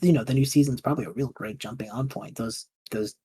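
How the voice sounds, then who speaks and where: A man's voice: medium pitch, quick pace, warm tone, slightly rough. A man hosting a podcast, chatting casually in an encouraging, enthusiastic way. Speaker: podcast host. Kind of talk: casual interview, wrapping up the show.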